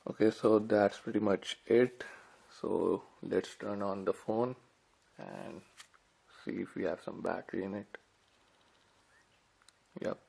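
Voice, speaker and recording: A man's voice: lowish voice, fairly even intonation, takes it slowly, vocal fry, pauses often, a little mellow, slightly rough. A man giving casual, informal unboxing commentary as a tech reviewer, talking directly to viewers. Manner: informal, addresses viewers as guys.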